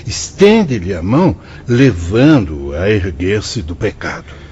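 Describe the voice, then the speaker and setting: A compassionate priest and teacher in a Brazilian radio drama. His diction is solemn and formal, with highly animated intonation. The sound is slightly dull, with faint noise behind it. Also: slow. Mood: earnest, preaching. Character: wise, compassionate male priest mentoring in a spirit-world institute.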